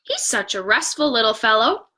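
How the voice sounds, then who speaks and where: A woman voicing a hurried but kindly dad in a children's bedtime storybook. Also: fairly high pitch, sing-song, bright, forceful tone, heavily acted. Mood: wistful.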